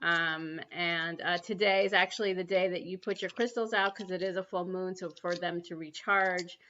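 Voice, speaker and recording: A woman's voice: lowish voice, fairly even intonation, moderate pace, a bit bright, slight background noise. A woman from New City, hosting a spiritual livestream and talking earnestly with many ums and restarts. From New York City. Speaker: livestream host. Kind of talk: spiritual livestream talk and guided prayer. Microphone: computer mic on a livestream. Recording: dull lo-fi livestream audio.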